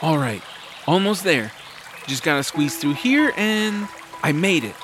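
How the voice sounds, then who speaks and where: A man playing a friendly, boyish young hero, a sensible best friend, earnest and animated, in a family audio drama. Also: a little high, a little slow, noisy background. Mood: cheerful.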